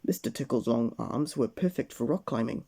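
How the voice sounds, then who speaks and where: Dark-voiced man reading a bedtime story. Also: mid-range voice, expressive, normal speed, vocal fry, slightly rough. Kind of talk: children's storybook narration.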